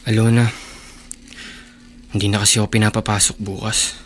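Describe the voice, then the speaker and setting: A man's voice: on the low side, flat delivery, moderate pace, a little hiss or hum, natural voice. A man playing a poor young husband in a radio drama, hot-headed but self-sacrificing, speaking in an earnest, sometimes hesitant way. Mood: downcast, evasive. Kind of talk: Tagalog radio drama acting.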